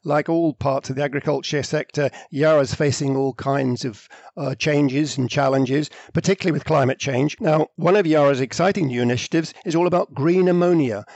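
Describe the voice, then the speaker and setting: A man interviewing a guest, asking brisk, conversational questions. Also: on the high side, darkish tone, no room sound, dull audio.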